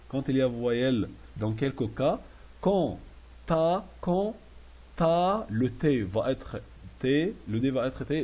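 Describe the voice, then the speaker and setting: A man teaching French classroom phrases, repeating each slowly and translating it into English. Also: on the high side, mellow, breathy edge. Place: Riyadh.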